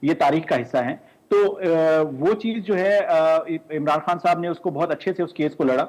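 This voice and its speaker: Male debate panelist, fairly high voice, polite and explanatory, halting with frequent hesitations. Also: darkish tone, poor audio.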